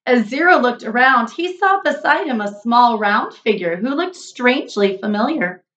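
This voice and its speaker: High-voiced woman reading a children's story. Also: lively intonation, moderate pace, a bit bright, dull audio. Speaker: storybook narrator. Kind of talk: expressive children's read-aloud narration.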